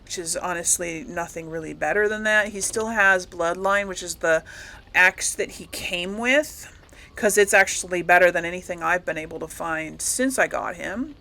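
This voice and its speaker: Gravelly, bright-voiced woman gamer. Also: fairly low pitch, moderate pace. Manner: rambling, self-correcting, thinking aloud. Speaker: gaming video host. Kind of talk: casual game commentary.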